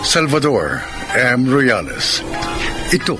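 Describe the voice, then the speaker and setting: Male radio drama announcer: formal, deliberate, widely swinging mid-range pitch, over a clear music bed. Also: big pitch swings. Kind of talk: scripted radio drama narration and credits.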